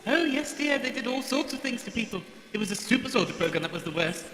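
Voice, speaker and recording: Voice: medium pitch, animated, fast talker, fairly bright tone, lightly acted. An actor voicing a fussy, chatty old cleaning robot with a genteel, motherly manner in a comic audio drama. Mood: nostalgic. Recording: echoey hall with noise.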